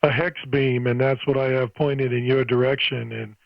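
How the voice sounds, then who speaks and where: An older American man talks casually on amateur radio. His medium-pitched voice comes through dry, with the dull, limited sound of a radio transmission. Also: flat delivery, moderate pace, vocal fry, no echo. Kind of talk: casual ham radio chat. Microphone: radio microphone.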